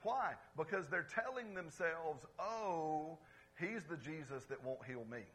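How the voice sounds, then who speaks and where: Male pastor, fairly high, bright and husky; animated sermon, calls on the congregation. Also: unhurried. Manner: swings between loud exclamations and quiet asides. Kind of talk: lively conversational sermon. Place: church service before a congregation.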